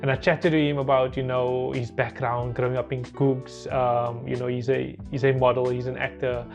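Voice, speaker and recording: A man's voice: medium pitch, little pitch movement, moderate pace, vocal fry. A man giving a casual podcast introduction as the host and interviewer. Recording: dull lo-fi sound with music behind.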